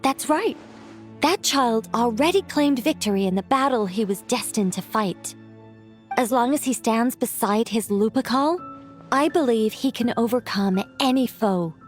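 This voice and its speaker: A woman playing a whimsical young witch in a video game, self-assured and breezy, musing in a gently theatrical way. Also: on the high side, lively intonation, unhurried, soft background music. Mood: musing, content.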